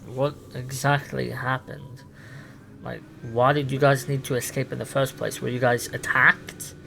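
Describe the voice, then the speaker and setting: A man's voice: mid-range voice, level tone, a little slow, gravelly, pushed and bright, slight background noise. Hesitant man improvising a roleplay. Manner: hesitant, rambling, with many "like" fillers. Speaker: player roleplaying with an AI character.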